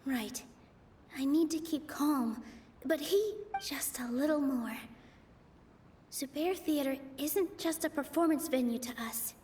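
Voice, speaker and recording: High-voiced, earnest young woman. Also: takes it slowly, background noise. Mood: heartfelt pleading. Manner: earnest, polite, heartfelt. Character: gentle, earnest young woman dancer defending her theater. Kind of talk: video game dialogue acting.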